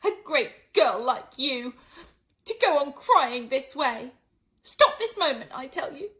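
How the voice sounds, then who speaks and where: A woman plays a fretful little storybook girl with a high, very changeable voice. She is earnest and muddled, quick to scold herself. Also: very animated, bright, forceful tone, exaggerated voice.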